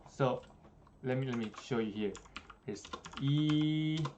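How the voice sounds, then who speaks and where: A young man in a small room giving a relaxed, chatty livestream language lesson, slowing down to spell out examples. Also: medium pitch, lo-fi sound, noisy background. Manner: relaxed, chatty, many fillers, slows down for examples. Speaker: Korean language teacher.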